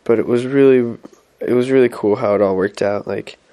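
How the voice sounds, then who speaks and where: A man's voice: medium pitch, fairly even intonation, brisk, dark tone. A young man on a mission, talking casually through his week with many fillers and restarts while stuffy with a head cold. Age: young adult. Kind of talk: casual weekly update vlog.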